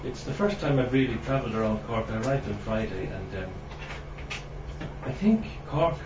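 A man who grew up in Ireland introducing his poems at a reading, halting, with many pauses and fillers. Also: medium pitch, expressive. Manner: halting, many ums, clears throat. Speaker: poet giving a reading. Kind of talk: hesitant spoken introduction to a poetry reading. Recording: distant mic, dull sound, roomy with noise.

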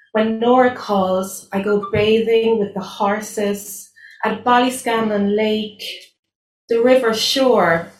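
A woman with an Irish accent, a native Irish speaker, giving a live poetry reading with hesitant spoken introductions. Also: mid-range voice. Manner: hesitant, many fillers between readings. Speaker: poet. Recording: roomy distant live recording, faint noise.

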